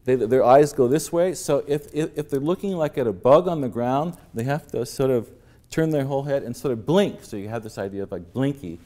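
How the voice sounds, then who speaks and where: A man's voice: medium pitch, moderate pace, mellow. A man answering in an interview, hesitant, often repeating words and saying "like". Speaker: interviewed artist. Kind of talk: interview clip.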